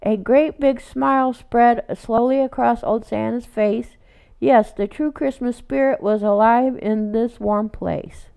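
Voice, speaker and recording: A woman reading a children's picture book aloud, adding casual asides in a gentle, unhurried read-aloud manner. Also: fairly high pitch, steady tone, vocal fry, smooth. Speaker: storytime reader.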